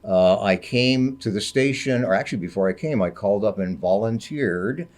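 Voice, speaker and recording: Deep-voiced older man with radio pipes. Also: darkish tone. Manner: unhurried, many uh fillers, jokey. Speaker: public radio on-air host. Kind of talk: casual radio studio chat.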